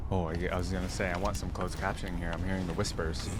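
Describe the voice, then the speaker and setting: A man giving casual, musing commentary as a reaction video host, often trailing off quietly. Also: sits low, flat delivery, fast, very noisy. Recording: voice over loud game cinematic music.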